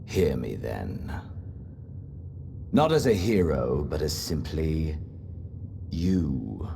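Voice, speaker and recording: Brooding warrior rival for a video game, super slow, swings from hushed to intense, gravelly. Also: male voice, extremely low pitch, big pitch swings, slight background noise, exaggerated voice. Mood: solemn intensity. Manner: theatrical, deliberate, grave delivery. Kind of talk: dramatic video game voice acting.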